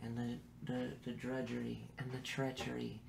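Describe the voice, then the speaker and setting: Creaky-voiced laid-back man from San Francisco. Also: mid-range voice, completely flat delivery, normal speed, a little hiss or hum, poor audio. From San Francisco, United States. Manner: slangy, laid-back, swearing freely. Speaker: guest, funk musician. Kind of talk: casual podcast interview chat.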